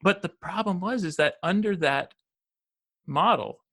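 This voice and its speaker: American guy, 50s, gravelly, bright tone, lots of restarts and ums. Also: high-pitched, measured pace. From United States. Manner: rambling, frequent restarts and fillers. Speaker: podcast guest, financial advisor. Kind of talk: casual interview conversation.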